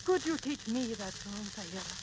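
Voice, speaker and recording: A woman's voice: medium pitch, lively intonation, moderate pace, natural voice. Actress doing a begging queen in an old 30s radio drama, earnest n stagey, really noisy scratchy record. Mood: eager. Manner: theatrical, earnest. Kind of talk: 1930s radio drama acting. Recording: very noisy, crackly 1930s transcription disc.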